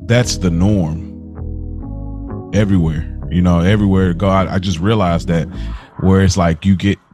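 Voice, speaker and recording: Low-voiced man chatting on a podcast. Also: steady tone, a little slow, over music. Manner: earnest, repeats himself. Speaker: podcast co-host. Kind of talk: casual chat.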